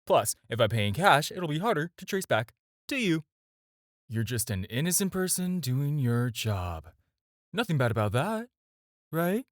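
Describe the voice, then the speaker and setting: A flirtatious supervillain played by a man speaks straight to the listener in an audio roleplay, recorded dry. His intonation swings widely as he coaxes and teases, with playful, drawn-out pauses. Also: fairly high pitch, sing-song, no echo. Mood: sly, coaxing. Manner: coaxing, playful, hesitant pauses and drawn-out teasing. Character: smooth-talking, flirtatious adult male supervillain, teasing and persuasive.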